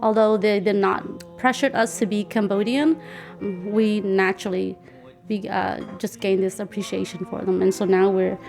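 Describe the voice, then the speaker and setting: A woman's voice: medium pitch, steady tone, normal speed, creaky, a little mellow, faint music behind. A woman, talking casually and reflectively while she cooks. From Nashville, United States. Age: 40s. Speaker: home cook, interviewee. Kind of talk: casual storytelling while cooking. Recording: clicky, noisy kitchen field recording.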